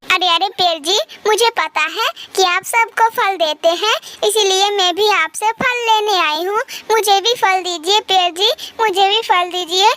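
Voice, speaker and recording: A very high, piercing female crow acted by a woman from a children's cartoon. She is greedy and bullying, pushing her demands with repeated phrases and threats in a bright, sharp tone. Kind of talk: children's cartoon story acting.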